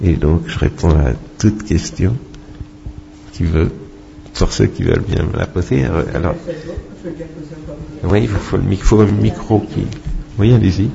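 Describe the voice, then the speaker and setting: Low, very dark, creaky male voice. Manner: halting, opinionated, digressive. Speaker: doctor and author answering audience questions. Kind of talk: unscripted public question-and-answer talk. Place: public meeting with microphone. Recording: muffled, noisy public-event recording.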